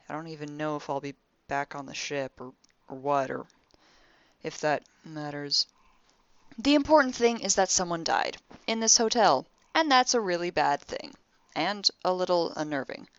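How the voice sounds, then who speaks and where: A dull, close recording with very little room sound carries a young crewwoman's personal log from a sci-fi audio drama, acted by a woman. Her voice sits low. She is wry and sardonic, delivering her exasperation in a deadpan, conversational way. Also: no echo. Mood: exasperated, sarcastic.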